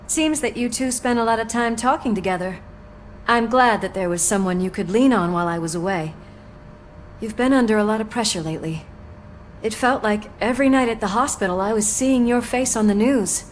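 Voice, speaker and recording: A woman's voice: on the high side, moderate pace, slight background noise, natural voice. A woman acting a supportive, teasing police partner in a video game, informal and wry. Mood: wry, caring.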